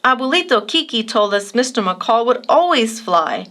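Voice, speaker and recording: Woman playing an eager, high-pitched young boy. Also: big pitch swings, normal speed, a bit bright. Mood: earnest. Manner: exclamatory, eager. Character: earnest, excitable young boy, devoted to his kite.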